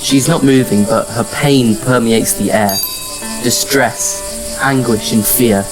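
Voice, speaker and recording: A man playing a somber, determined wanderer, narrating a science fiction audio drama in the first person, measured and reflective. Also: medium pitch, over music, lightly acted, low-quality recording.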